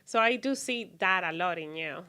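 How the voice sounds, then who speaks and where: A woman's voice: on the low side, lively intonation, normal speed, crowd noise. A woman hosting an interview show, effusive and rambling through her questions with fillers. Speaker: host.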